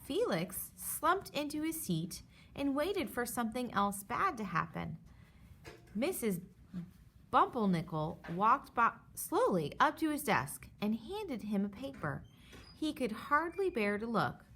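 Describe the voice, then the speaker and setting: A woman reading a children's picture book aloud in an expressive, dramatized way. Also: on the high side, measured pace, slight background noise. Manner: animated, dramatizes sound effects and dialogue. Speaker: storybook reader.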